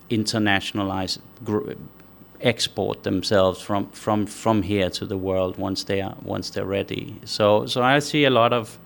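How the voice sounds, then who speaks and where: Uomo danese dalla voce bassa e ruvida, ospite di un'intervista, parla in modo misurato e riflessivo. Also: male voice, gravelly, a bit bright. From Denmark. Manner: measured, thinks aloud with frequent restarts. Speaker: guest, company founder. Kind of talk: conversational interview answers.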